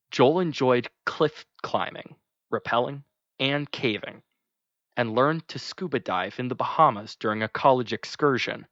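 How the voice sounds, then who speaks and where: A man reading a scripted memorial narration in a measured, gentle way. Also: mid-range voice, dry recording. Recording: dull, lo-fi dry recording.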